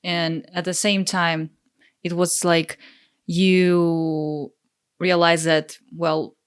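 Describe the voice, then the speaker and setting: A woman hosting a casual interview, speaking in a hesitant, halting way as she searches for words. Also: on the low side, level tone, clean tone. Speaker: podcast interviewer.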